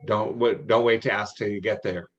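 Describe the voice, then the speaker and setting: An older man answering questions in an informal meeting, explaining rules in a halting, anecdotal way. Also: on the low side, steady tone. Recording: dull, lo-fi online call audio.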